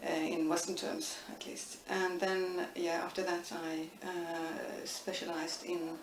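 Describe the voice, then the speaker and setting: A middle-aged woman, thoughtfully answering interview questions with many pauses and restarts. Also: lowish voice, flat delivery. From Estonia. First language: Estonian. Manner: thoughtful, frequent hesitations and restarts. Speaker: interviewee, linguistics professor. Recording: echoey room, distant mic, faint noise.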